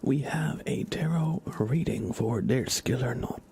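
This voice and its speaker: A man hosting an ASMR livestream, chatting softly and casually in a rambling way, often slipping into a whisper. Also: mid-range voice, a little slow. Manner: casual, rambling, hesitant with frequent "like". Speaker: livestream host. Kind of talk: soft-spoken ASMR livestream, casual chat.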